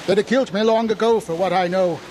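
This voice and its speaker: Male actor as old New townsman, high cackling voice, frightened, unhinged, rambling country dialect, horror audio drama, faint background noise. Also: quick pace, a little mellow, natural voice. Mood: conspiratorial, grim. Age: older. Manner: rambling folksy dialect, agitated.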